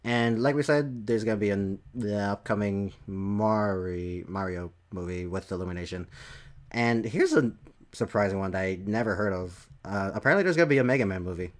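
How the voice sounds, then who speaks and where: A man's voice: lowish voice, moderate pace. A man hosting a casual podcast chat, talking hesitantly and trailing off as he works through topics. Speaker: podcast host.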